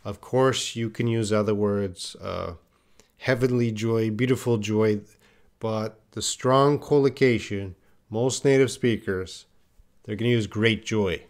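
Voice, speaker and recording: Man, English teacher, live online class, slow unhurried delivery, patient corrections, repeats example phrases. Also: medium pitch. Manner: reads out and corrects viewers' answers one by one.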